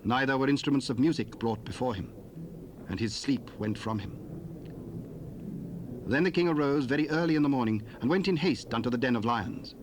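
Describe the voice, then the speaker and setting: Man narrating bible drama, measured and solemn, mid voice, lots of tape hiss. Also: medium pitch, animated. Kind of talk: scripted scripture narration for audio drama. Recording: hissy cassette tape rip.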